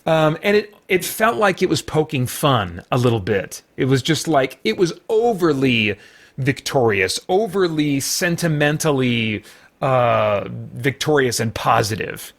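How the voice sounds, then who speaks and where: An American man giving a casual, unscripted review as a show host, halting and rambling as he thinks aloud. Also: mid-range voice, very animated, gravelly.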